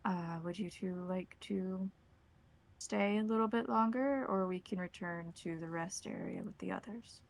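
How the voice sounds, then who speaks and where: Woman playing a formal, creaky-voiced vampire elder. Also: mid-range voice. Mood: courteous. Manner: formal, slow, careful wording.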